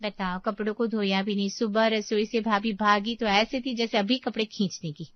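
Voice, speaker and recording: An actress playing a competitive, self-righteous young daughter-in-law in a cartoon story. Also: female voice, mid-range voice, steady tone, normal speed, highs cut off, natural voice. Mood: smug, defiant.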